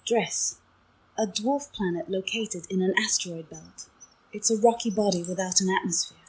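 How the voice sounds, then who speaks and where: Husky ai lady voice explaining stuff, measured, reads like scripted info. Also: medium pitch, rough recording. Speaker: AI game companion. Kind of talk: informative scripted-sounding narration.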